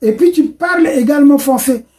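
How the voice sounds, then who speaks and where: A man's voice: very high-pitched, fairly quick, dark tone. A middle-aged man hosting a political discussion, affirming his guest with "voilà" and drifting into long explanations. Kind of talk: political talk show discussion.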